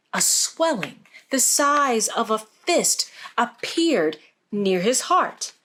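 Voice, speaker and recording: A woman reading a storybook aloud for children, with expressive, deliberate phrasing. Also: medium pitch. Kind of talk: scripted storybook narration for children.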